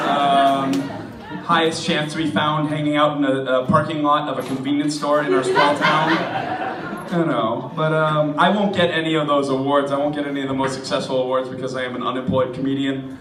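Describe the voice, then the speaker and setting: Hoarse young American man doing stand-up. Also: a little high, level tone, normal speed, a loud crowd, very noisy. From United States. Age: 20s. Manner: rambling, self-mocking, many restarts. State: hoarse from a cold. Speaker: stand-up comedian. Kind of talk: stand-up set. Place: comedy club stage in a bar. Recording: echoey room recorded from a distance.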